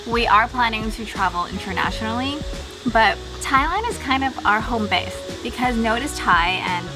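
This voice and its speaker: A young Canadian woman travel vlogger, chatting casually and explaining things quickly to the camera by a resort pool. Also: fairly high pitch, lively intonation, over music, low-quality recording. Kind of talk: casual chat. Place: outdoor resort pool, then indoor spaces.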